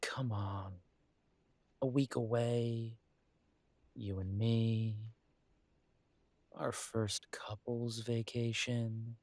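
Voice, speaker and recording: A man acting an affectionate, world-weary werewolf detective in an intimate audio drama, soft and coaxing with thoughtful pauses. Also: mid-range voice, flat delivery, dry recording.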